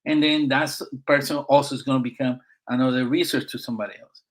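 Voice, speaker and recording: A man's voice: mid-range voice, steady tone, fast, poor audio. Puerto Rican-accented middle-aged man, emphatic. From Puerto Rico. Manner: emphatic, often adds "right?". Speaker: guest, Marine veteran. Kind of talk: casual podcast conversation.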